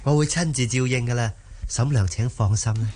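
Courteous, coaxing young gentleman, acted by a man. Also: medium pitch, a little fast, lightly acted. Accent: Cantonese. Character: polite, ingratiating young gentleman, the mistress's nephew. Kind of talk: Cantonese radio drama acting.

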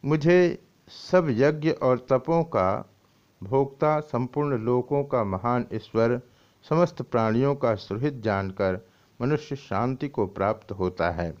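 The recording is dull and lo-fi. A man with a mid-pitched voice reads scripture and its explanation aloud. His delivery is scripted and formal, with deliberate phrasing suited to a religious teacher. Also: darkish tone. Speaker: swami reading and explaining scripture. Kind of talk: scripted scripture reading with commentary. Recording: dull, lo-fi audio.

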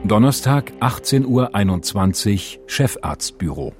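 An actor voicing a terse, official announcer who reads out case-log entries in a German radio crime drama. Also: male voice, fairly low pitch, animated, unhurried, over music. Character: male announcer of case dates, times and places.

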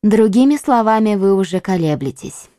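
A scheming, cold-hearted noblewoman speaks in a raised, softened voice with a dark, mellow tone, pressing and commanding, as a male narrator puts her on. The recording is dry and close, with no room sound. It is an audiobook reading. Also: high voice, animated, normal speed, no echo, pitched above the performer's own voice. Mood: eager. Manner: urgent, commanding, insistent.

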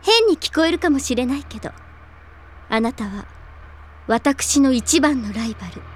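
A woman's voice: sits high, expressive, moderate pace. A woman playing a proud rich teenage girl in an anime audio drama, imperious, with refined ladylike diction. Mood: sincere.

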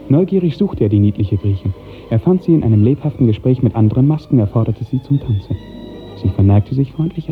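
A man narrating a literary 1950s radio drama in a measured, precise storytelling manner. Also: fairly low pitch. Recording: narrow-band 1950s radio recording, faint music.

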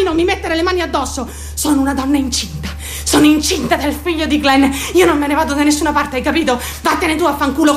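A woman's voice: very high-pitched, fairly bright tone. An actress playing an unstable, obsessive, desperate pregnant young woman in a raw, intense radio drama monologue. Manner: raw, volatile, swinging from murmurs to screams.

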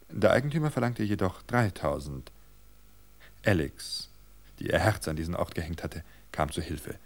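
A man reading a literary story as a radio narrator, in scripted narration. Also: fairly low pitch.

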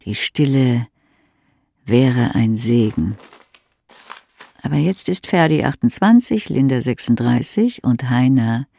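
An actor playing an intimate inner-voice narrator in a radio drama, speaking in an insistent, pointed way. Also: male voice, medium pitch, lively intonation, slow pace, creaky, long pauses, dark tone, heavily acted. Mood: bitter, wistful. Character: intimate needling inner-voice narrator addressing a weary wife.

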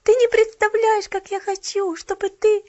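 An eager little girl chatters without pausing for breath, her pleas tumbling out in a rush, as a woman reader puts her on. The voice sits very high and the storybook recording sounds muffled and dull. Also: no echo. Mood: pleading. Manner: breathless, gushing, rapid pleading.

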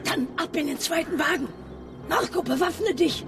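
An actress playing a brisk, shrewd woman who runs a travelling theatre troupe in a children's radio play. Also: high voice, gravelly. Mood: brisk, sceptical.

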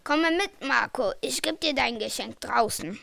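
A woman's voice: sits high, normal speed, creaky, a bit bright, exaggerated voice. A female performer playing a kindly, secretive grandfather in an amateur school radio play.

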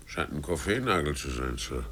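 An actor playing a defiant but cowardly, sneering sailor agitator in a German radio drama, with a raspy, creaky voice. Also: male voice, very deep voice, very animated, moderate pace, a bit bright, exaggerated voice. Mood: cringing. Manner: hissing, wheedling, swinging from defiance to cringing.

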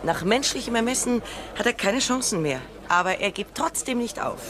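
An actress playing a calm, dry, inquisitive woman psychologist in a radio play. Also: a little high, moderate pace, lightly acted. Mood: curious. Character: woman doctor, curious and cool-headed psychologist. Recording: loud crowd noise outdoors.

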